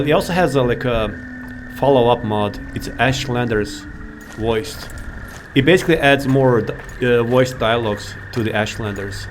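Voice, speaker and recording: Man rambling over gameplay, noisy mic. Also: mid-range voice. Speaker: video host, gamer. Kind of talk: casual gameplay commentary.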